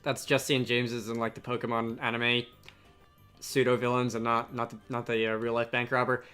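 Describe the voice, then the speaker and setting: A man hosts a casual game playthrough in a bright, forward voice of middling pitch. He talks haltingly and self-deprecatingly, with music playing behind him. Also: little pitch movement, clean tone. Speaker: Let's Play host. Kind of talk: casual game commentary, reading dialogue aloud.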